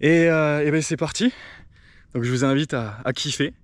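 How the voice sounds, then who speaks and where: A thirty-year-old man from northern France giving a casual, improvised travel vlog with hesitant, drawn-out pauses. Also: a little high. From Nord-Pas-de-Calais, northern France. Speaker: travel vlogger.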